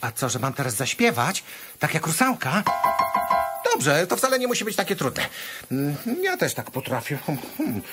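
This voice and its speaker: An actor playing a curious, excitable young boy in a children's musical radio play, chatting and muttering to himself. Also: mid-range voice, normal speed, natural voice. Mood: eager, curious. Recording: music behind the voice.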